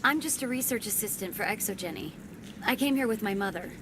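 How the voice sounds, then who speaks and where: A woman's voice: medium pitch, animated, brisk, background noise, close to an everyday voice. A woman playing an anxious young research assistant in a video game, earnest and hesitant in manner. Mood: uneasy, hesitant.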